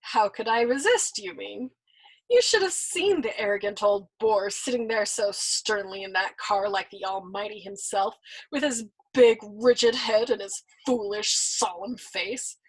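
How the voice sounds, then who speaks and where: A woman voicing a shameless, worldly old man over a hundred, teasing and smug, in a breathy voice, as she reads a storybook aloud. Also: mid-range voice, measured pace, rough recording. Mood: mocking.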